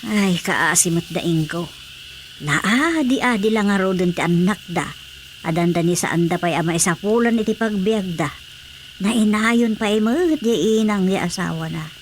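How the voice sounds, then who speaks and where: A woman's voice: mid-range voice, moderate pace, slight background noise, lightly acted. Earnest older Ilocano wife, acted by a woman. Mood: concerned. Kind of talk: comic radio drama acting.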